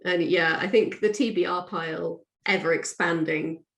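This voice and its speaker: Woman, slightly low voice, echoey room, casual chat, informal, self-deprecating author guest. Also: fairly even intonation, moderate pace, vocal fry.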